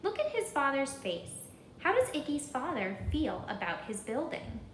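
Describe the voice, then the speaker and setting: A woman reading a children's story aloud in an expressive, deliberate, rhythmic way, pausing to put questions to listeners. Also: high-pitched, roomy sound, slight background noise, poor audio. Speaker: storybook reader.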